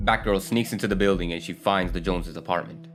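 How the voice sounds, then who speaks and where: A man with a fairly low voice narrates a scripted story in the present tense. Faint music plays behind him as he tells it. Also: fairly even intonation, fast.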